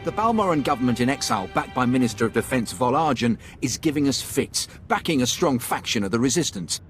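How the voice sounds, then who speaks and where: An actor playing a tough, confident Imperial commander in a video game, speaking bluntly and emphatically. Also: male voice, medium pitch, lively intonation, brisk, soft background music, natural voice. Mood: confident, persuasive. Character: tough, confident male Imperial special-forces commander, gruffly determined. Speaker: military commander character.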